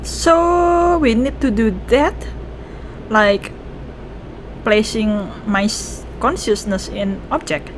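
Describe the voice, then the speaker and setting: A woman with an Indonesian accent giving casual, expressive reactions as a gaming streamer, switching between languages. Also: fairly high pitch, takes it slowly. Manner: expressive, mixes two languages, repeats game lines. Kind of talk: casual game reaction commentary. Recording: clear background noise from game.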